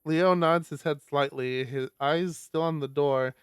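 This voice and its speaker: A man's voice: on the high side, steady tone, unhurried, clean tone, no room sound, studio quality. A man reading a visual novel aloud on a stream, expressively, with casual sweary asides.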